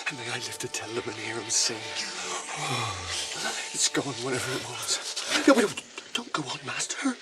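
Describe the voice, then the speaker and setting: An actor playing a loyal, anxious hobbit servant, homely and deferential, in 1980s radio drama. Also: male voice, mid-range voice, sing-song, normal speed, far from the mic, natural voice. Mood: relieved, wary. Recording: radio drama with music behind.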